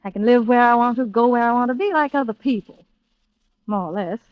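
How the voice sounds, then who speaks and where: A tough, guarded club singer acted by a woman with a fairly high voice. She talks in terse, unsentimental lines, in old radio thriller style. The recording is dry and dull. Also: fairly quick, dry recording, lightly acted. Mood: defiant. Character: cool, guarded, hard-bitten nightclub singer, a woman.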